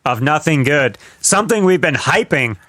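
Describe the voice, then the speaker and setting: A man's voice: on the high side, lively intonation, moderate pace, sharp tone, no room sound. A man co-hosting a podcast, chatting casually and announcing things with emphatic, profane mock hype. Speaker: podcast co-host.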